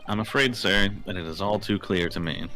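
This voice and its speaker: Man as self-assured gentleman detective: low register, pompous formal diction, faint music underneath. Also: a little fast, poor audio. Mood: pompous, deducing. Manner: theatrical, formal, pompous delivery. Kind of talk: amateur fandub of a video game. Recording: music playing behind the voice.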